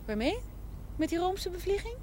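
Casting: a confident, sharp-tongued young woman in TV period drama, high voice, brisk replies, faint background noise. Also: big pitch swings. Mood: tart, defiant. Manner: brisk, pointed retorts. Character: self-assured, sharp-tongued unmarried young woman, irreligious. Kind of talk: television period drama acting. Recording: noisy old television drama soundtrack.

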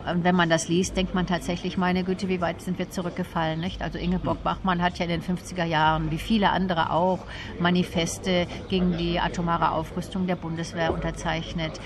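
A woman's voice: fairly low pitch, little pitch movement, a little fast. Thoughtful woman explaining over crowd noise. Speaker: interview guest, literary expert and translator. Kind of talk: explanatory literary interview talk.

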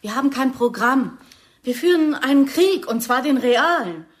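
A woman's voice: high voice, lively intonation, moderate pace. A woman acting a defiant, idealistic young actress with radical politics in a German radio play, outspoken and stubborn. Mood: passionate, indignant.

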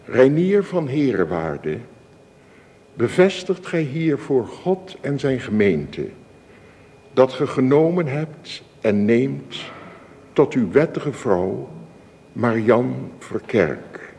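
In a long, church-like reverb with some hiss, a solemn male minister conducting a wedding in a radio play. He speaks very slowly and ceremoniously, in a mellow voice with a gravelly creak. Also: medium pitch, sing-song, full character voice. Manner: formal, measured, ceremonious.

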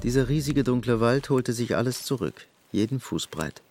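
A man's voice: mid-range voice, lively intonation, moderate pace, a little mellow. A man narrating an audio drama as a scripted literary story. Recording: music bed behind the voice.

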